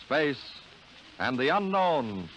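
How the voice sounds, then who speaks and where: A man's voice: medium pitch, big pitch swings, takes it slowly, a bit bright. A man announcing in 1950s radio style, with a dramatic, teasing delivery, heard on a noisy old broadcast recording.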